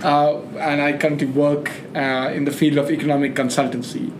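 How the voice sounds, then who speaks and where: A young man, talking casually as a podcast guest with plenty of fillers and restarts. His voice sits on the higher side for a man. The room is echoey, with a faint hiss of noise underneath. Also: level tone, brisk. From India. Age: 20s. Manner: chatty, lots of fillers and restarts. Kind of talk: casual conversation.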